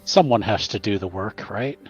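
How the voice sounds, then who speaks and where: A man playing a humble, smooth-talking traveling servant in a tabletop game, fawning and grateful, repeating his polite words. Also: lowish voice, moderate pace, dull audio, soft background music. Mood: wry, chummy.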